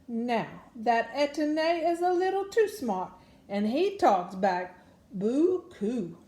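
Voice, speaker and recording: A woman's voice: on the high side, big pitch swings, takes it slowly, a little mellow. A woman storyteller reads to children. Her delivery is folksy and dramatic, with words drawn out for effect. The room adds a noticeable echo. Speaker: storyteller reading a children's book aloud. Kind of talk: animated Cajun folk storytelling. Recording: roomy echo around the voice.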